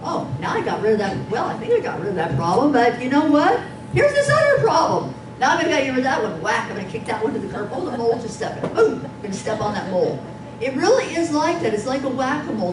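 An older woman giving an informal, playful and emphatic presentation in a meeting room. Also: a little high. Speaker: workshop presenter. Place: dim conference meeting room. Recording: distant, echoey, noisy room recording.

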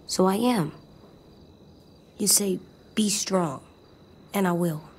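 A woman playing a solemn, stubborn, anxious little boy survivor in a video game drama, speaking in a quiet, earnest, guarded way. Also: mid-range voice, slow, slight background noise. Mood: guarded, resolute.

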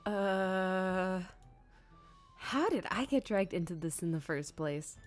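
A woman's voice: mid-range voice, animated, takes it slowly. A woman game streamer, chatting casually and reading lines aloud as she plays. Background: game music.

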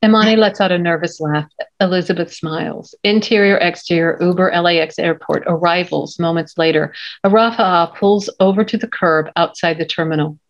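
Female narrator, lowish pitch, reading script directions, muffled lo-fi call. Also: steady tone, normal speed. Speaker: stage directions reader. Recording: dull lo-fi video call.

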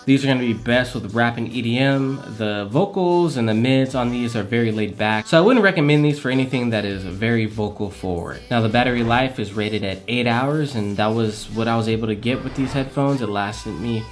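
A young man giving a casual product review to camera in a small room at home, relaxed and chatty, repeating words for emphasis. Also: mid-range voice, a little fast, few pauses. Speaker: tech reviewer.

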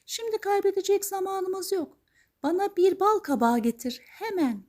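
A woman voicing a kindly, brisk fairy godmother from a storybook, giving gentle, clear instructions. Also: very high-pitched, voice pushed up. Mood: brisk, reassuring.

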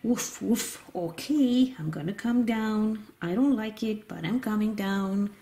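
A woman's voice: medium pitch, measured pace, warm tone, slight background noise, lightly acted. A woman voicing a naughty, stubborn toy doggy who barks and talks defiantly in children's pretend play. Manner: defiant, barking woofs.

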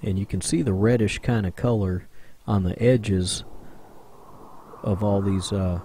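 A man's voice: on the low side, unhurried, mellow, slight background noise. A man doing casual voice-over narration for his own exploring video, rambling and chatty with plenty of fillers. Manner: rambling, conversational, with many fillers. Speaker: urban explorer vlogger.

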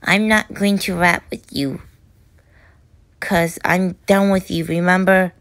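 Woman as a sulky, drawn-out little cartoon boy. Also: lowish voice, little pitch movement, fairly bright tone, no echo. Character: upset little boy in skeleton costume, sulky.

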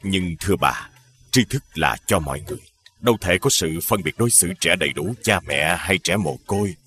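A kindly, principled village teacher from a cartoon folktale. His voice is low but bright, with lively swings of pitch, and he speaks earnestly like a scholar instructing pupils. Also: brisk. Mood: firm. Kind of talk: animated folktale dubbing.

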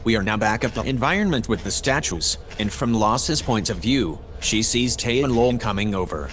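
Sci-fi audio drama AI assistant played by a man: low, calm, precise, formal narration over noticeable background ambience. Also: moderate pace, a little hiss or hum. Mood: calm, analytical. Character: calm analytical AI narrator assistant aboard a spaceship.

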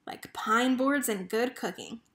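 A woman with a fairly high voice reads a picture book aloud. She narrates in an expressive storytelling style. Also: measured pace.

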